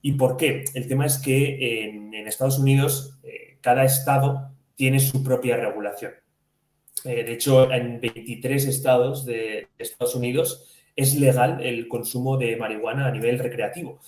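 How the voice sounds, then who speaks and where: A man from chats as a financial podcast co-host. His voice sits at a medium pitch, and he explains by asking questions and answering them himself. The sound has a strong room echo. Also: fairly even intonation, moderate pace, a little mellow. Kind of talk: conversational financial news discussion. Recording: echoey room.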